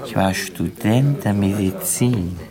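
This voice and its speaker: An actor playing a self-pitying, shabby failed medical student in a radio drama, rambling between bitterness and boasting. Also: male voice, on the low side, slow pace, crowd noise. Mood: boastful, self-pitying. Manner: rambling, rueful. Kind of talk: German radio drama acting.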